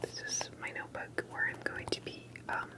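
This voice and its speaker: A woman performing a whispered ASMR roleplay as a secretive, cautious investigator, speaking in a hushed and hesitant way with soft pauses. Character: secretive, cautious investigator interviewing an alien abductee.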